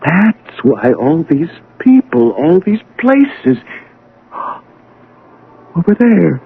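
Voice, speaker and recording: An American actor playing a proud young scientist, shaken and bewildered, with intense, halting delivery in a radio drama. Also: male voice, fairly high pitch, sing-song, husky, phone-quality sound, full character voice. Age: young adult. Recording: noisy, band-limited old radio broadcast.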